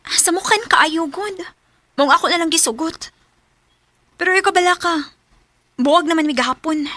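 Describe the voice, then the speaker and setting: A woman's voice: very high-pitched, moderate pace, pushed and bright, no echo. A woman with a Filipino Bisaya accent acting a blunt, evasive young girlfriend who strings a suitor along in a radio drama. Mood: coy, evasive.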